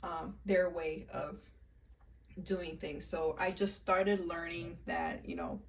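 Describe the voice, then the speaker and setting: A young woman casually walking viewers through a love spell, chatty and halting, with frequent ums and likes. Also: on the low side, lively intonation. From United States, Puerto Rican and Peruvian family. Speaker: witchcraft vlogger.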